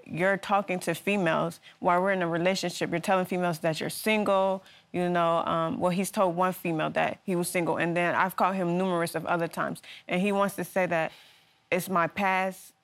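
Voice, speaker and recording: Earnest aggrieved woman, lowish voice. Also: steady tone, brisk. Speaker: litigant. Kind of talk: courtroom testimony.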